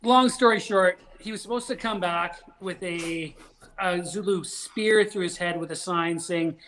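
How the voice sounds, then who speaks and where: A man's voice: sits high, unhurried, fairly bright tone. A man joining a casual podcast as a guest over a video call, telling stories, swearing casually and laughing along.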